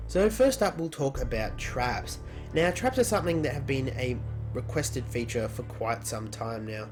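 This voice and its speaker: A man's voice: mid-range voice, expressive, moderate pace, music in the background. A man hosting a gaming news video, narrating in an informal scripted style.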